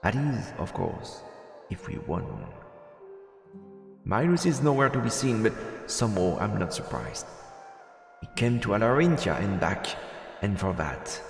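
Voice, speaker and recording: A man's voice: fairly low pitch, sing-song, measured pace, vocal fry, long pauses, roomy sound, long reverb tail. A man live-streaming a visual novel, reading the story text aloud and adding casual asides, with game music behind him.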